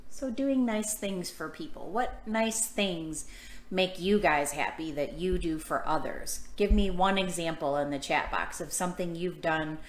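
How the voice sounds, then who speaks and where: A woman hosting a children's storytime, reading a picture book aloud and chatting to young listeners with gentle questions. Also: fairly low pitch, unhurried. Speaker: children's storytime host and librarian. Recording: echoey room sound.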